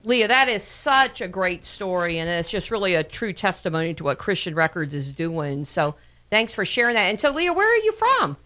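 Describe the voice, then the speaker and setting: A woman's voice: medium pitch, sing-song, moderate pace, bright, forceful tone. A middle-aged woman hosting a casual radio interview, chatty and full of repeated words and fillers. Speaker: host.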